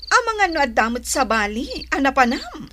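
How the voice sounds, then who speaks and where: An actress plays a possessive, suspicious young woman with a high, swooping voice. She scolds and demands answers, radio drama style. Clear noise is heard behind her. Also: big pitch swings, fairly quick, sharp tone, exaggerated voice. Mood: suspicious, scolding. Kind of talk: Ilocano radio drama acting.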